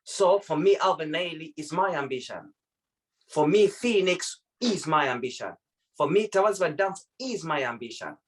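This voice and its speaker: A man's voice: on the high side, lively intonation, normal speed. A man originally from Zimbabwe speaking reflectively in a video-call discussion, often repeating words as he searches for them. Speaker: panel participant.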